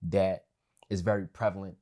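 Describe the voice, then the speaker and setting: A young man with a very deep voice chats on a podcast. He rambles easily, restarting sentences and leaning on fillers as he thinks out loud. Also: level tone, moderate pace. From family of West Indian background. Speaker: podcast guest. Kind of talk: casual podcast conversation.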